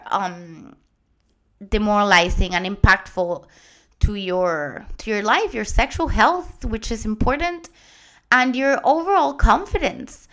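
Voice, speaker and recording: A woman in her late twenties with a Puerto Rican accent, Spanish her first language, telling her own story earnestly with many pauses and restarts. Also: medium pitch, a bit bright. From Puerto Rico. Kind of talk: personal story told to followers.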